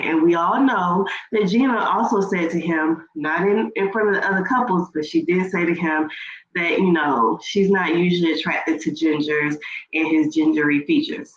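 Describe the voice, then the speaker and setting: A woman with a stuffy nose, rambling casually as she recaps a reality TV show for her channel. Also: lowish voice. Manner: chatty, rambling with fillers and restarts. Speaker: YouTube reaction host. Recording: echoey room, dull lo-fi sound, faint noise.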